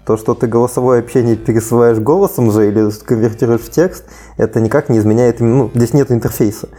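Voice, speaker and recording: A man in a casual panel discussion who argues quickly and bluntly, correcting the others. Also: mid-range voice, fairly even intonation, dark tone.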